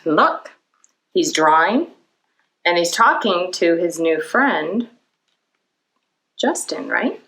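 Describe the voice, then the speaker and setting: A woman's voice: fairly low pitch, big pitch swings, slow pace, long pauses, fairly bright tone, low-quality recording. Woman leading a children's storytime. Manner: asks the listeners questions and answers them, simple explanatory phrasing. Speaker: children's librarian hosting storytime. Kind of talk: children's storytime read-aloud and talk to young viewers.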